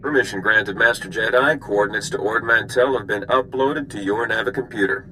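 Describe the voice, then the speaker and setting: A man playing a brisk, formal launch controller in a video game. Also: on the low side, bright, forceful tone, rough recording. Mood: brisk, official. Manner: formal, official. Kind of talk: video game character acting. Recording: faint noise behind the voice.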